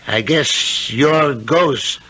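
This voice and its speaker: An actor playing a weary, self-reproachful businessman father in a radio drama, halting and deliberate. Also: medium pitch, sharp tone, breathy edge, lo-fi sound, full character voice. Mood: reflective. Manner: halting, deliberate, repeats himself. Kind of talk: 1970s radio drama acting.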